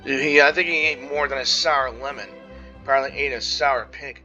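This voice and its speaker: A woman doing casual gameplay commentary, halting at times, with jokey asides. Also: very deep voice, fairly even intonation, muffled recording. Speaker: gaming streamer. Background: faint game music.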